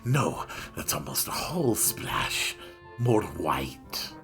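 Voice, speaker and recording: A man voicing a cryptic, exacting young woman painter in a slithery, breathy, slow voice, as in a visual novel reading. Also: low voice, sing-song, over music, pitched below the performer's own voice, heavily acted. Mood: terse, exacting. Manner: slow, terse, dreamy and cryptic.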